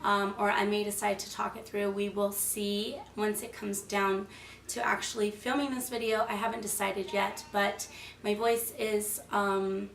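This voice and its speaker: A young woman in her twenties with a hoarse, froggy voice, chatting enthusiastically through a makeup product review. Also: mid-range voice, little pitch movement, measured pace, a bit bright, recorded from a distance, slight background noise. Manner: chatty and enthusiastic, many fillers. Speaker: beauty vlogger. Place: small room, plain wall. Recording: echoey room, slightly off-mic.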